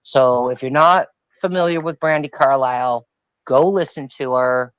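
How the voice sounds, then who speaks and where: A woman's voice: extremely low pitch, moderate pace, dry recording, poor audio. A woman on a live-streamed panel chat, talking about music in an emphatic, opinionated way and repeating her points. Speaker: panel guest.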